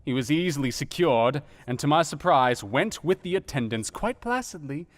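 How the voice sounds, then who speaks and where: A man's voice: on the high side, expressive, normal speed, lightly acted. An actor voicing an asylum doctor who narrates his diary in an earnest, dramatic way, in a radio play. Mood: tense.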